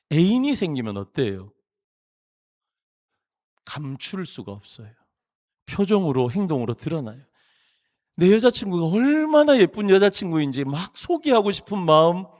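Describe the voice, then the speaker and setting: A middle-aged man from Busan, South Korea, preaching a sermon as pastor in a church sanctuary, in an animated, jokey way with emphatic rising phrases. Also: mid-range voice. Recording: long reverb of a large church hall.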